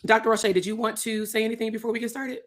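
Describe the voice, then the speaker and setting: A woman, hosting a live stream chat with a hoarse, raspy voice, talking casually to viewers. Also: on the high side, quick pace. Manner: relaxed, talks straight to viewers as y'all. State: hoarse, losing her voice. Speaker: psychologist and host.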